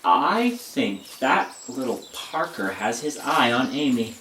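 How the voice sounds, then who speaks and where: A woman's voice: very deep voice, expressive, slow, a bit bright, reverberant, a little hiss or hum, exaggerated voice. Breezy, teasing young college man played by a woman. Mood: playful, breezy.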